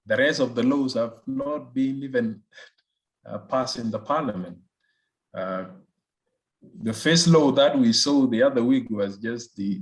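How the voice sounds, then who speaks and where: A man with a South Sudanese accent answering as an expert guest in an interview, phrasing things deliberately and often repeating words as he goes. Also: mid-range voice, rough recording. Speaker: research fellow, interview guest. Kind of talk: political analysis in an interview.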